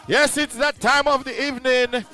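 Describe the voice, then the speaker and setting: A man with a high-pitched voice hosts a radio show as a hype man. He speaks straight to the listeners and repeats his phrases, with music playing faintly behind him. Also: steady tone, moderate pace. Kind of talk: hype show intro patter.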